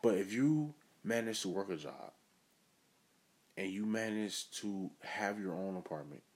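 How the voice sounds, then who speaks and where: A man's voice: lowish voice, animated, unhurried, darkish tone. A man hosting his own podcast, giving an earnest, self-assured motivational monologue. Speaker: podcast host.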